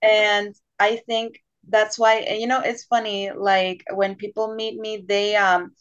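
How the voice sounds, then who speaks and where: A woman's voice: mid-range voice, flat delivery, a little slow, fairly bright tone, smooth. A young woman born in Panama and raised in the United States, a guest chatting casually on a podcast and laughing often. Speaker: guest, language assistant. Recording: dull lo-fi sound.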